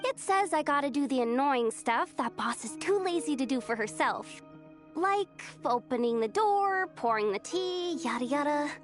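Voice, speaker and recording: A woman's voice: high-pitched, expressive, moderate pace, faint music behind. A woman voicing a cheeky, eager young girl sidekick in a video game, talking in casual, bouncy slang.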